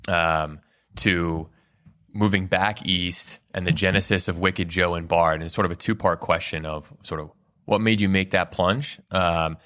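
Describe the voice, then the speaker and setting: A man hosting a business podcast, asking long, winding interview questions in a measured way. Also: low-pitched, steady tone, bright, forceful tone. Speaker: podcast host.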